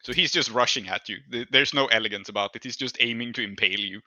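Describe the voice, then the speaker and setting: A man's voice: mid-range voice, fast talker, bright, forceful tone, dry recording. A man working as game master, narrating and making rulings in a conversational way.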